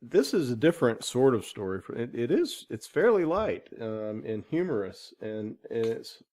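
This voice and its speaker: A man's voice: mid-range voice, sing-song, normal speed, a little mellow. A man interviewing a guest as podcast host, chatting informally and jokingly with loosely phrased questions. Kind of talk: casual interview chat.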